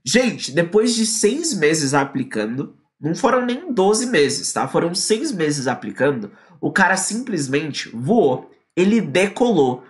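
A young man from Brazil teaches English into a close webcam, his pitch leaping up and down as he talks. He sounds energetic and eager, explaining each point to a viewer from a small indoor room. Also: takes it slowly, few pauses. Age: young adult. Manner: lively and energetic, quoting a student in acted lines. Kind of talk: online English lesson in Portuguese. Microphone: webcam at close range.

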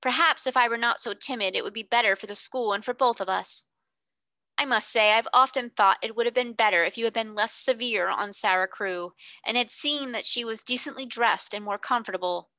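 A woman's voice: brisk, bright, forceful tone, no room sound. Miss Amelia, a woman voicing a timid spinster schoolmistress. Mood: timid pleading. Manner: tearful, pleading, rising to shrill outbursts. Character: timid middle-aged schoolmistress sister, breaking into hysterics.

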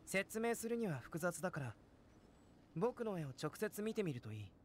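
An actor playing a calm, aloof young alchemist and artist in an anime game, speaking in a composed, intellectual way. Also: male voice, high voice, normal speed, a little hiss or hum. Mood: detached, thoughtful. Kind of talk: Japanese anime game voice acting.

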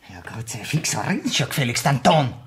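An actor playing a gruff, impatient police interrogator in a radio docudrama, with a Viennese accent and a brusque, needling manner. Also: male voice, medium pitch, big pitch swings, fast. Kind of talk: radio crime docudrama acting.

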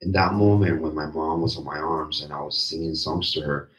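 A middle-aged man with a deep, strained voice gives halting personal testimony in a group discussion, repeating phrases for emphasis into a handheld microphone. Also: little pitch movement, a bit bright. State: voice strained from yesterday's screaming. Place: small indoor meeting room. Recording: roomy, dull handheld-mic sound.

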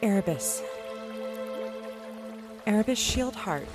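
A woman acting in an audio drama as a gentle scout archer, halting as she searches hazy memories. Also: mid-range voice, animated, over music. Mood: searching memory.

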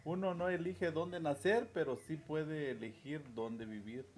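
A man's voice: fairly high pitch, a little slow, a little mellow. A man in his forties with a southern Mexican accent, hosting an informal interview and often tagging questions onto his phrases. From Chiapas, southern Mexico. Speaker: host and interviewer. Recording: noticeable background ambient noise.

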